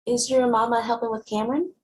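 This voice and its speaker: A woman playing a wry, guarded, sharp-tongued teenage girl who narrates her own story conversationally in a dramatic novel reading. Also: on the high side, steady tone, quick pace, lightly acted. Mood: concerned. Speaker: actor.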